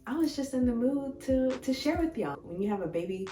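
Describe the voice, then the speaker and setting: An American woman with a slightly hoarse, raspy voice, chatting informally and expressively to her viewers in a casual life-update vlog. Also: high voice, expressive, brisk, warm tone. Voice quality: a little raspy. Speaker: vegan food vlogger and host. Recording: echoey room, distant mic, faint music.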